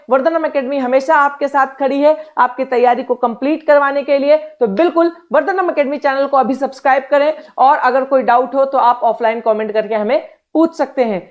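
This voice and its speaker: High-pitched woman teacher, dull recording. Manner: direct, instructional, repeats check phrases. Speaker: exam-coaching teacher. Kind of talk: instructional explainer to students. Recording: dull, lo-fi sound.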